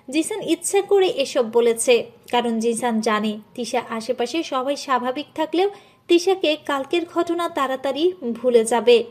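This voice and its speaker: A woman narrator with a high voice. She delivers scripted storytelling, carrying the tale between the acted dialogue.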